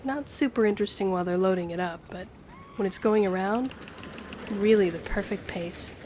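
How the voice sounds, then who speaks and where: A woman's voice: medium pitch, normal speed, a little mellow, natural voice. A woman playing a friendly, earnest young woman with a shy romantic streak in an intimate audio roleplay, speaking to the listener. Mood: cheerful, relaxed. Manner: chatty, earnest, flustered hesitations when romantic. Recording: clear background ambience noise.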